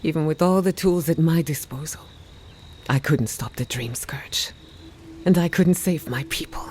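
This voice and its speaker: Earnest actress as a low-voiced woman scholar. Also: moderate pace, a little mellow, a little hiss or hum, lightly acted. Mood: bitter, burdened. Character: earnest, grieving woman scholar, burdened but resolute. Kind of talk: dramatic video game dialogue.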